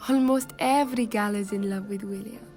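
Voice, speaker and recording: A woman's voice: mid-range voice, brisk, a little mellow, faint music behind, lightly acted. An actress playing a friendly young saloon woman who talks in a chatty, fond way.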